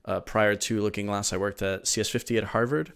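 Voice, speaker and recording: Lowish-voiced man telling his story, rambling. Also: steady tone, a little fast, a bit bright, clear, resonant tone. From Venezuela. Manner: eager, rambling with many fillers and restarts. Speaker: podcast guest. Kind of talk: casual interview storytelling.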